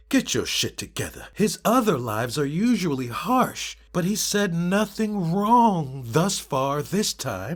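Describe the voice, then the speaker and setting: Male narrator, fairly high and very animated, theatrical, emphatic comment reading. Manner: emphatic, theatrical, shifting delivery.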